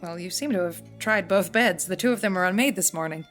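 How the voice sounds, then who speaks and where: An actress playing a polite, attentive guest house keeper in an audio drama, with hesitant, courteous small talk. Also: female voice, lowish voice, fairly quick, a bit bright, faint music behind, close to an everyday voice. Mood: courteous, curious.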